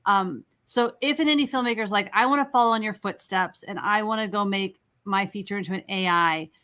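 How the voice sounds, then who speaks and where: A woman's voice: mid-range voice, a little fast, sharp tone. A woman moderating a conversational interview, framing her questions with informal, emphatic commentary.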